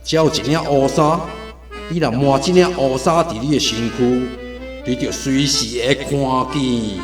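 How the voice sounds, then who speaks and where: A man's voice: mid-range voice, measured pace, natural voice. Man playing a domineering, echoing Taiwanese king. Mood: grandly tempting. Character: domineering male king of a dark worldly realm. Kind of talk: Taiwanese radio drama acting. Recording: echoey studio with music behind.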